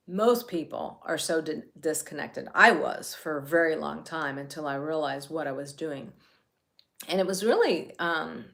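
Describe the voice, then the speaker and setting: A woman's voice: low-pitched, a bit bright. A middle-aged woman from New Jersey, talking casually while guiding a self-care healing session, chatty and rambling with many restarts.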